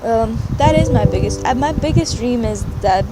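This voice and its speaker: A woman's voice: fairly high pitch, animated, normal speed, very noisy. A young woman from Afghanistan answering interview questions in an earnest, casual way, often saying "like" and restarting her sentences. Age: late teens. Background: background music.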